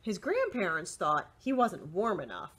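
A middle-aged woman reading a picture book aloud to young children, expressive and deliberate, with short asides to the listeners. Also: lowish voice, slightly rough. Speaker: children's library storyteller. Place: indoors, small room with bookshelves.

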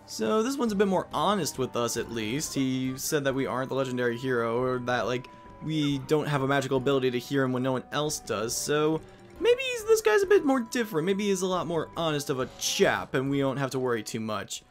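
A man hosting a let's play, giving chatty, self-mocking commentary with quick asides. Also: mid-range voice, big pitch swings, soft background music. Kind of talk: casual game commentary.

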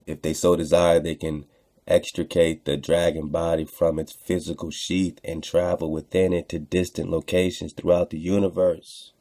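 A man's voice: low voice, little pitch movement, moderate pace, mellow. A man hosting online radio, commenting casually on texts he reads aloud, repeating phrases for emphasis and addressing listeners in slang.

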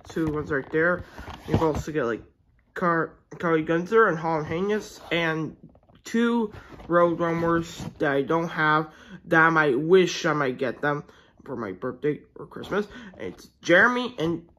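A toy reviewer giving a casual unboxing review at home, rambling and hesitant with many restarts, excited about the toys, recorded on a handheld phone. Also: mid-range voice, a little hiss or hum, low-quality recording.

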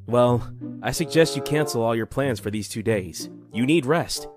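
A calm cartoon doctor, acted by a man, speaks in a medium-pitched voice. He is kindly and professional, reassuring over clearly audible music. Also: moderate pace, lightly acted. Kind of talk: cartoon comic fan dub. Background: background music.

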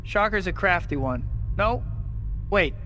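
Youthful game superhero, high voice, easygoing, quippy, brave, casual delivery, faint music behind. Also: male voice, expressive, unhurried, bright, forceful tone, full character voice, low-quality recording. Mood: dry joking. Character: young wisecracking male superhero, easygoing and brave.

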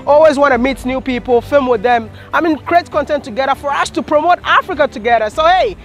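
A young man hosting a travel vlog, talking casually and excitably to the camera outdoors. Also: very high voice, brisk. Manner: excitable, joking, chatty. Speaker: travel vlogger host. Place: outdoors on a hillside.

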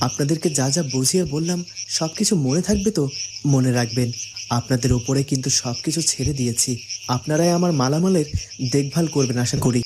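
A man's voice: medium pitch, brisk, natural voice. A man playing a responsible, courteous young prince giving instructions in a fairytale cartoon. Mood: instructing. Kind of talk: Bengali fairytale cartoon dubbing. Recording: noisy, insect-like night ambience.

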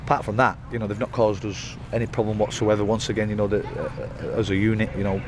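A man in his thirties speaks as a football manager after a match, his low voice running fast and looping through fillers and repeated words. He stands pitchside under floodlights at night, close to a handheld mic. Loud outdoor noise sits behind him. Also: little pitch movement. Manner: rambling, frequent restarts and fillers. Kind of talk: post-match interview.

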